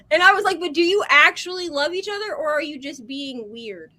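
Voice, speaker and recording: A woman co-hosting a casual chat over a video call, an animated storyteller who fills her talk with "like.". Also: sits high, moderate pace. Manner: animated storyteller, heavy on "like" fillers. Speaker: co-host.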